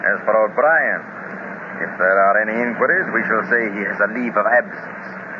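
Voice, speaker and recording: A man's voice: fairly low pitch, natural voice. A man voicing a pompous, gloating master villain. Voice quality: slow, measured, gloating delivery. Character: scheming male master villain, pompous and menacing. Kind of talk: 1930s radio serial acting. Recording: hissy, dull 1930s radio transcription.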